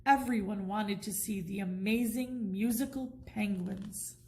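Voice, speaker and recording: A woman reading a children's bedtime story aloud in an expressive, deliberate storytelling manner. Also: medium pitch, slight background noise.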